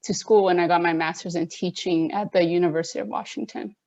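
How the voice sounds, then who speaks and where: A woman's voice: on the low side, steady tone, quick pace, vocal fry, darkish tone, highs cut off. A woman in her thirties from Oregon, a teacher, giving a friendly, conversational presentation to parents at a virtual open house. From Oregon, United States. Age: 30s. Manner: friendly, conversational, plainly spoken. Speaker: elementary school teacher.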